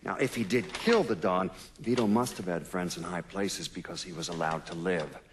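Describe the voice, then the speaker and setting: An actor playing a matter-of-fact FBI agent recalling old cases in a documentary-style game interview. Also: male voice, sits low, a little hiss or hum, lightly acted. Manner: dry, explanatory, deliberate.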